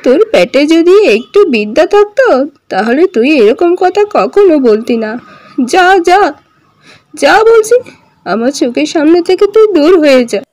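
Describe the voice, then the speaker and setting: A woman voicing a protective, sharp-tongued older village mother-in-law in a cartoon, with an emphatic, scolding manner. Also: high voice, very animated, moderate pace, dry recording. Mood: angry. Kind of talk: cartoon dialogue.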